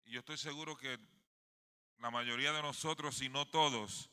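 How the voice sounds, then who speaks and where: A man's voice: mid-range voice, fairly even intonation, normal speed, pushed and bright, clean tone. A man with a Puerto Rican accent preaches a sermon emphatically, often checking for agreement from his congregation. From Puerto Rico. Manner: emphatic, often seeks agreement with tag questions. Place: indoors in a sanctuary. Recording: long hall reverb.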